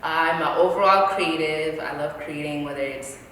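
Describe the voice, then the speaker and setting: A low-pitched woman in her thirties, born in Kenya, talks casually as a guest and breaks into laughter now and then. The room is very echoey with a long reverb tail. A faint hum of ambience sits underneath. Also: normal speed, a bit bright. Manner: chatty, laughs often, trails off with fillers. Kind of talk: casual interview chat.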